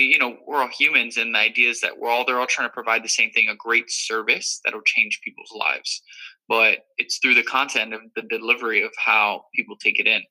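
Bright-voiced young man reflecting earnestly. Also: medium pitch, rough recording. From Miami, Florida. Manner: earnest, reflective, many fillers. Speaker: guest. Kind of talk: casual interview talk.

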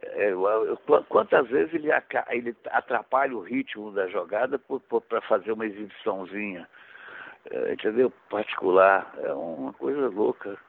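A man telling stories in casual chat, rambling with frequent restarts and casual swearing, in a gravelly voice. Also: fairly low pitch, normal speed. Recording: tinny lo-fi radio broadcast.

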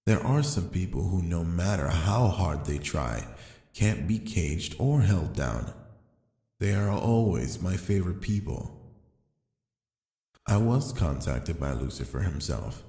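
Long, echoing reverb surrounds a deep male voice. It is a tempting fallen angel played by a man in an ASMR roleplay, calm and sly. He speaks slowly and intimately, as if to one listener. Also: exaggerated voice. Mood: calm, admiring. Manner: slow, deliberate, addressing the listener intimately.

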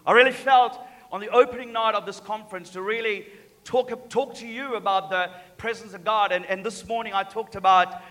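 A man in his thirties from Sri Lanka with a Sri Lankan and New Zealand accent, preaching a sermon as a guest speaker, stammering over repeated words and building to fervent shouts. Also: sits high, steady tone, normal speed. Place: large church hall. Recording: reverberant hall.

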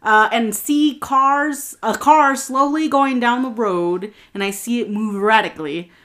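A bright, clear-toned woman with a somewhat high voice, chatting casually on a podcast. She tells a long story with lively energy and slips into laughter along the way. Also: measured pace. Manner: animated storytelling, laughs while telling. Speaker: podcast co-host. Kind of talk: casual chat, telling a dream.

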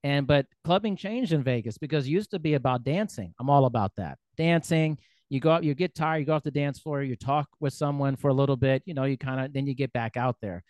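Relaxed, rambling man in a dry recording. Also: medium pitch, fairly quick, hardly any pauses, no echo. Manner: relaxed, rambling, laughs while talking. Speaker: podcast host. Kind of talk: casual podcast conversation.

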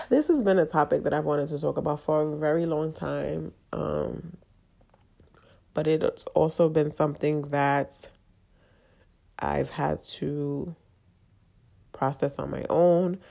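A woman with a low, gravelly voice talks in a candid podcast monologue, stuffed up and nasal from a lingering cold. Her tone is dark and mellow, and she speaks haltingly and confessionally, with plenty of fillers. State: congested from a long cold. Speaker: podcast host.

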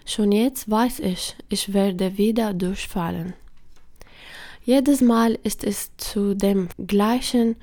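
A woman reading a first-person life story aloud in a slow, earnest, measured way. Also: medium pitch, warm tone. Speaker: reader.

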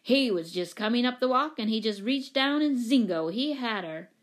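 A woman voicing a bold, excitable young boy in a children's story, breezy and exclamatory. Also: fairly high pitch, normal speed, natural voice. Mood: triumphant.

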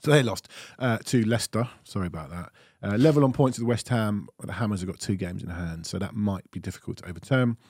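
A man with a low, mellow voice hosts a casual fan podcast. He talks in an easygoing way and laughs often. His voice sits close on a dry mic. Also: slightly rough, no room sound. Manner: easygoing, laughs often, runs through news briskly. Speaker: podcast host.

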